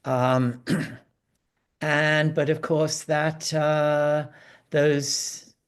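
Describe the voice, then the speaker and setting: Slow, halting man explaining. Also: fairly high pitch, level tone, clean tone. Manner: halting, hesitant, frequently repeating words. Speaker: guest analyst on a panel. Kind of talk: informal explanatory panel talk.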